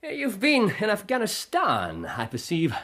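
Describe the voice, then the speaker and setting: A brilliant Victorian English investigator, exuberant and theatrical. His voice sits fairly high and is husky, leaping widely in pitch as he eagerly expounds in radio drama style. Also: wildly swooping pitch, unhurried, sharp tone, full character voice. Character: brilliant, excitable male Victorian amateur scientist detective. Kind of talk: British radio drama acting.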